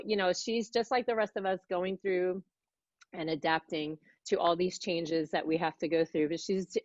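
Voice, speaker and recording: A woman's voice: fairly low pitch, no echo. Chatty woman host, dry dull sound. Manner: warm, chatty, laughs often, rambling restarts. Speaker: podcast host. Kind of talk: casual interview chat.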